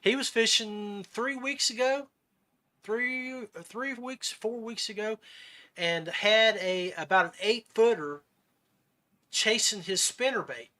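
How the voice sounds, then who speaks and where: A man's voice: sits high, takes it slowly, pushed and bright. A man hosting a casual fishing podcast, telling folksy, rambling stories. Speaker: podcast host.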